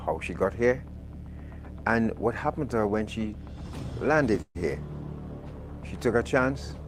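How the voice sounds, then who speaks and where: A man's voice: lowish voice, darkish tone, poor audio. A man hosting a live radio talk show, chatty and prone to repeating words. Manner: conversational, repeats words while searching.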